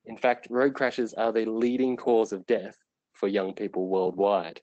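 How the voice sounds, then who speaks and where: A man presenting a webinar, explaining his subject in an earnest, measured way over a remote call microphone. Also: on the low side, little pitch movement, a little mellow, dry recording, dull audio.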